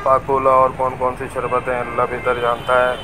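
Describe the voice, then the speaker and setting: Male vlogger, even and unhurried, recorded on a bike in a loud market street. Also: medium pitch. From Pakistan. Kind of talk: street tour narration. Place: busy market street outdoors. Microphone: camera on a moving bike. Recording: noisy outdoor street recording. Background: traffic and crowd noise.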